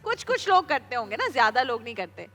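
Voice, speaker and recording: A woman's voice: a little high, sing-song, fast talker, in a large hall. A woman with a Pakistani accent hosting a television show, chatting playfully and unscripted with children, teasing and encouraging them. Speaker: TV show host. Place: TV studio.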